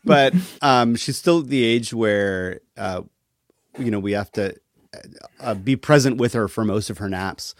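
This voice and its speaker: A man talking casually as a podcast guest, thoughtful and halting, with a wry streak. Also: on the low side, no echo, studio quality. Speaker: interview guest, musician.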